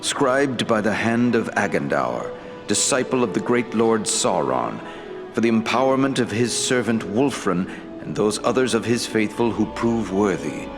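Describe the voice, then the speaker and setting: Fantasy game elf lord: solemn lore-master, deep and formal, long hall reverb, faint music behind. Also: male voice, animated. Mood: solemn, disapproving. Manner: formal, grave, deliberate elevated diction. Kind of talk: fantasy game dialogue acting.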